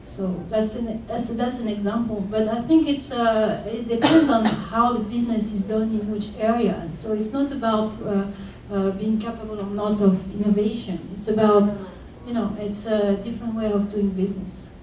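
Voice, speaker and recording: A woman's voice: medium pitch, steady tone, moderate pace, hardly any pauses, warm tone, a little hiss or hum. Woman from France, hesitant, distant echo. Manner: hesitant, many fillers. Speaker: panelist. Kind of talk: panel discussion. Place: conference room. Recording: echoey room, voice from far off.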